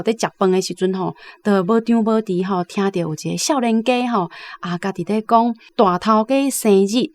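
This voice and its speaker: Female host, Taiwanese accent, mid pitch, conversational recap with frequent sentence-final tags. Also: darkish tone. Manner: frequent tag particles at phrase ends.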